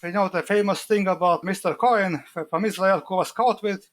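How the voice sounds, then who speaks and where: Male historian guest, high register, non-native English, emphatic explanatory answers. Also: brisk, a little hiss or hum. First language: not English.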